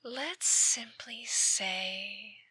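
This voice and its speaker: A woman plays an obsessive, possessive ex-girlfriend in a scripted ASMR drama. Her voice is very bright and sharp, recorded close and dry. She draws her words out slowly with menacing sweetness, often sinking into a breathy whisper. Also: medium pitch, dry recording, heavily acted. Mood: taunting, obsessive. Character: obsessive yandere ex-girlfriend stalking her former lover. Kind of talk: ASMR roleplay, scripted monologue.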